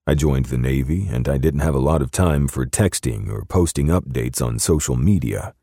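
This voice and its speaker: A man playing a stoic, protective bodyguard in a restrained, soft-spoken and terse way. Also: rumbling low, moderate pace, dry recording, studio quality. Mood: calm, firm.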